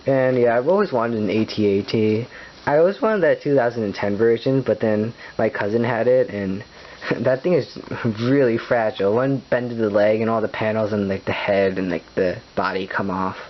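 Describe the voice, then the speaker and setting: A man's voice: fairly low pitch, moderate pace. A late-teen young man, chatting casually in a rambling home vlog, full of fillers and restarts and frequent chuckles. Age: late teens. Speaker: vlogger. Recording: dull lo-fi recording with faint hiss.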